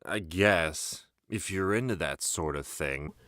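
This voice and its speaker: A man's voice: sits low, takes it slowly, a bit bright, no echo, studio quality. A man playing a sarcastic, reluctant teenage adventurer in an amateur script read, exasperated and argumentative. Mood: grumbling. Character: grumbling, sarcastic, reluctant male teen adventurer.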